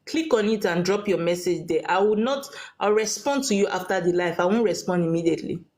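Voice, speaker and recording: A woman's voice: medium pitch, brisk, darkish tone. A woman hosting a live call-in chat, speaking in a directive way to the people who join. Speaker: livestream host giving spiritual consultations. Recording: noisy livestream with clicks.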